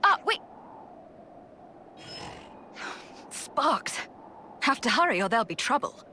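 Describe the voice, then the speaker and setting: An actress playing a playful, battle-hungry commander in a video game, with theatrical, teasing, jaunty delivery. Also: female voice, high-pitched, very animated, moderate pace, sharp tone, a little hiss or hum, heavily acted. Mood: breezy, eager. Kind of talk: video game cutscene acting.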